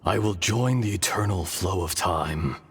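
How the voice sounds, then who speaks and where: A man's voice: low-pitched, a little slow, noisy background. A man playing a dying, resigned ancient being in a video game, grave and sighing. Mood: resigned farewell. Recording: music playing behind the voice.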